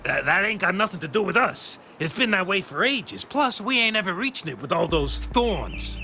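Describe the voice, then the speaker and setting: A man's voice: high voice, sing-song, moderate pace. A man playing a shifty, cocky young male thief in a video game, talking in grudging slang. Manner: slangy, grudging, stammering excuses. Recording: music playing behind the voice.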